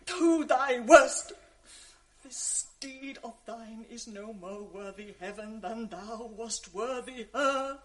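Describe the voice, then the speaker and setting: Furious maid in an old radio play, high voice, slow and cutting, yelling accusations. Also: female voice. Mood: outraged. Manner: fierce, accusing, cries out for help. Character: Emilia, a bold outraged lady's maid. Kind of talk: 1980s radio drama acting.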